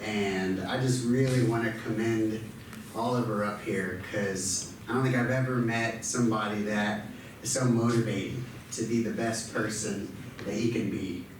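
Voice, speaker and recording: A man's voice: lowish voice, steady tone, a little slow, slight background noise. A man working as a speech pathologist, praising a student at an event, who stutters with repeated sounds. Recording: echoey hall, mic far off.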